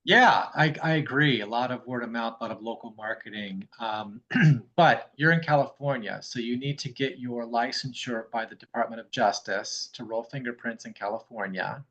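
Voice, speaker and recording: A man's voice: mid-range voice, moderate pace, fairly bright tone, dull audio. A man giving matter-of-fact, explanatory advice to a caller on a group video call. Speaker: notary and fingerprint roller, co-host.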